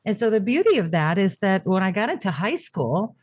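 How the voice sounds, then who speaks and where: A woman's voice: medium pitch, big pitch swings, brisk, no echo. A middle-aged woman, raised speaking Japanese, telling her caregiving story in a reflective interview with many fillers and restarts. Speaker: podcast guest, caregiver. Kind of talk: conversational interview.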